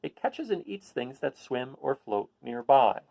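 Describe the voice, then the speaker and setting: A man's voice: mid-range voice, big pitch swings, measured pace, gravelly, mellow, poor audio. A man reading a children's picture book aloud, adding casual asides and putting questions to his listeners. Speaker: read-aloud host.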